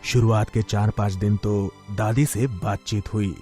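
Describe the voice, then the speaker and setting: Low-pitched man narrating a story. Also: fairly even intonation, unhurried, darkish tone, faint music behind. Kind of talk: scripted moral-story narration.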